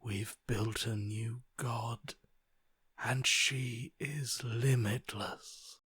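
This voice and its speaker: A man acting a broken, submissive inner voice in a video game, slow, hushed and breathy, resigned and reverent. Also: medium pitch, fairly even intonation, dry recording, clean studio sound, full character voice. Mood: reverent, hopeless. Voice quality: hushed, breathy, half-whispered. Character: broken, submissive male inner voice, devoted and defeated.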